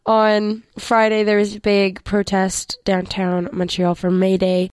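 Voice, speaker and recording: A young woman from Quebec with a hoarse, worn-out voice, chatting casually as host of a music show. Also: medium pitch, completely flat delivery, measured pace, a little mellow, no echo. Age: young adult. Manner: chatty, rambling with fillers. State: hoarse, lost voice.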